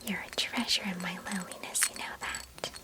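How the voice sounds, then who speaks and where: A woman voicing a chatty, mischievous and affectionate young girl cousin in an ASMR roleplay, in a breathy, intimate whisper close to the mic. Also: fairly low pitch, steady tone, a little fast, natural voice. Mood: tender. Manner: gentle, chatty, storybook-like old-fashioned phrasing. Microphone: close microphone.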